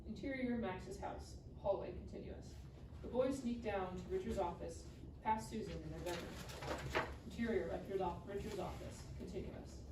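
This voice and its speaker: A woman's voice: mid-range voice, normal speed. A woman narrating stage directions from a script at a live table read. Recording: roomy, distant mic, clearly noisy.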